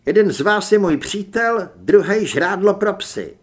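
An audiobook heroine, acted by a male narrator, a young woman hunter used to command. Her voice is pitched up with a husky grain, and she gives brisk, urgent orders. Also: expressive. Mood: stern.

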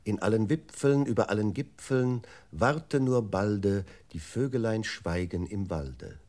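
A man playing a computer memory unit in a 1960s German experimental radio drama, reciting fragments in a terse, measured way. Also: on the low side, a little mellow, natural voice. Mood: detached, methodical. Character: male computer memory unit, detached, methodical.

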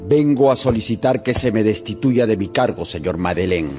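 An actor playing a rigid, law-obsessed police inspector in a radio drama, formal, deliberate and brooding. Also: male voice, on the low side, lively intonation, darkish tone, soft background music, natural voice. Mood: formal, stern.

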